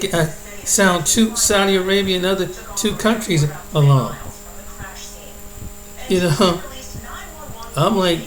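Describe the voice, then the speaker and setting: A man talks loosely over news clips in a rambling, repetitive way, restarting his sentences as he goes. His voice sits a little high for a man. Soft music plays underneath. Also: rough recording. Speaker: commentator reacting to news clips. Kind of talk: casual rambling commentary.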